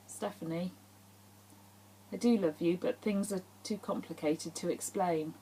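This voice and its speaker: A subdued young man in an audiobook romance, read by a woman, speaks with regret to the lover he is leaving. The voice is low and restrained, with a faint hum underneath. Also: measured pace, dark tone, natural voice, low-quality recording. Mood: regretful, subdued. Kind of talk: dramatised audiobook dialogue.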